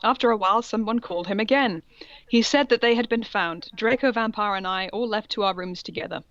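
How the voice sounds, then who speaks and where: A woman's voice: medium pitch, a little fast, dry recording, dull audio. A woman co-hosting a podcast, reading fan fiction aloud in a mock-dramatic way and breaking off for amused asides. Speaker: podcast co-host.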